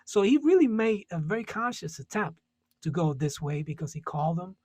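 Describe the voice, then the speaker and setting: A man's voice: sits high, lively intonation, a little fast, darkish tone, breathy edge, dry recording, clean studio sound. A man from Puerto Rico, a jazz musician, talking casually and thoughtfully on a panel, searching for words with many restarts.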